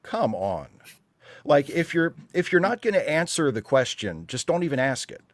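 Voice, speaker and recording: A man's voice: fairly high pitch, very animated, normal speed, no room sound. Emphatic man giving opinions, close dry sound. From United States. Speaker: panelist on a fan talk show. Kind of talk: casual opinionated chat.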